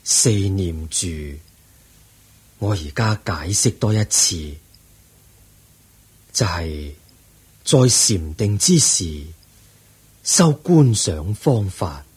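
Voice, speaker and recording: An actor playing the aged Buddha in a radio drama, a serene and patient teacher speaking solemn Hong Kong Cantonese in a husky voice. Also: male voice, sits low, animated, a little mellow, full character voice. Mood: firm, instructive. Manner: solemn, measured teaching delivery.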